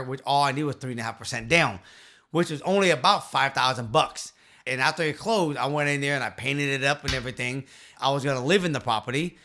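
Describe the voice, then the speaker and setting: A man in his fifties telling his story to the camera in a small home office, earnest and emphatic, into a boom-arm studio mic. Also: sharp tone. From Vietnam. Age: 50s. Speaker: real estate investor and channel host.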